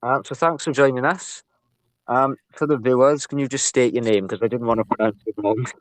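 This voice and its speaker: Welsh man, mid-pitched, dry recording, podcast host, casual hesitant questioning. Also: fairly even intonation, fairly quick, no echo, rough recording. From Wales. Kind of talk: casual interview.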